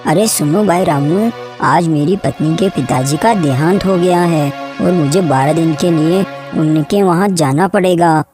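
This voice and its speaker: Woman acting as lazy village farmer man, low pitch, wheedling, sly, cartoon story, music behind. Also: measured pace. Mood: making excuses. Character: lazy, sly male farmer full of excuses. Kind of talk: acted cartoon moral story.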